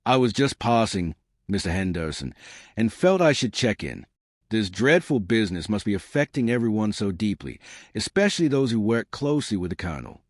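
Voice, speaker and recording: A man voicing a genteel elderly woman sleuth in an audiobook mystery, gentle, polite and quietly probing. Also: on the low side, big pitch swings, fairly quick, dry recording, clean studio sound. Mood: mildly curious.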